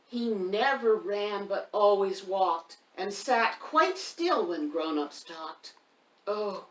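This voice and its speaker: A woman speaks as a children's storytime host, with a medium-pitched voice and an unhurried, expressive delivery that addresses young listeners directly. She sounds set back from the microphone in a roomy indoor space, with faint noise behind her. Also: far from the mic. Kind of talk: casual storytime chat and expressive picture-book read-aloud for children.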